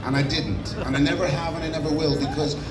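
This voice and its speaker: An Irish man giving an impassioned, rousing speech at an outdoor street protest, shouting at times. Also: on the high side, steady tone, fast talker. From Ireland. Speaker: rally speaker. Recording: roomy and distant over loud crowd noise. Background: large crowd.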